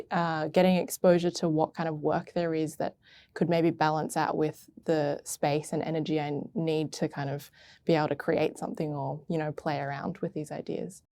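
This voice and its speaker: Woman intern, low mellow voice, dry sound, casual hesitant interview answers. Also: no echo. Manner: hesitant, thoughtful, many fillers. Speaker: arts intern.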